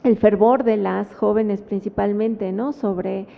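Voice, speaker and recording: A Mexican woman gives an academic talk at a panel, weighing her words with frequent pauses and tag questions. Her voice sits at a middle pitch. It comes through a thin, phone-like channel with a long reverb tail from a large hall. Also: fairly even intonation, creaky. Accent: Mexican Spanish. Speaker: book presentation panelist. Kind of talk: academic talk at a book presentation.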